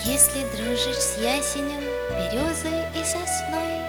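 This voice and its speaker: A singer performing a gentle fairy-tale song for children. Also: high-pitched, unhurried. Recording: loud tinkling musical backing. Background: jingly children's music.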